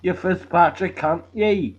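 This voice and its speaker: A man's voice: sits high, measured pace, breathy edge. A man with an Irish accent rambling on a live chat, repeating himself with foul-mouthed, confrontational talk. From Ireland. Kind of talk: rambling, sweary casual chat on a live stream. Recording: dull lo-fi stream audio, faint noise.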